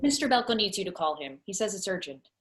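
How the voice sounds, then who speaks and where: A woman playing an efficient, firm secretary in a screenplay table read, brisk and no-nonsense. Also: mid-range voice, sing-song, a little hiss or hum, natural voice. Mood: businesslike. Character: efficient, firm secretary guarding her boss's schedule.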